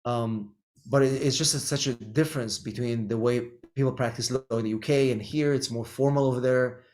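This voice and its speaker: A man's voice: medium pitch, fairly even intonation, fairly quick, a little mellow. A middle-aged man from Israel, an immigration lawyer, answering interview questions thoughtfully with frequent ums and restarts. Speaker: immigration attorney, guest.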